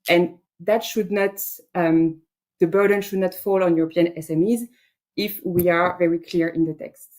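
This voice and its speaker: Earnest woman with a lowish voice. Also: steady tone, normal speed, darkish tone. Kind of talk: panel discussion.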